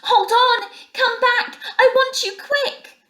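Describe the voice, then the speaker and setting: A woman's voice: extremely high pitch, fairly even intonation, slow pace, a bit bright, roomy sound, voice pushed up, heavily acted. A woman voicing a small homesick male mole in a storybook, pleading and calling out urgently. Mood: excited calling. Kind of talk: storybook character voice.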